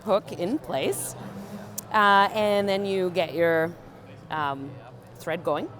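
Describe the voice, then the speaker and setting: A young woman tying a fishing fly in a joking, deadpan demonstration, with many ums and uhs. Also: on the low side, slow, faint music behind. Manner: deadpan, playful, many fillers. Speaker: guest fly tier.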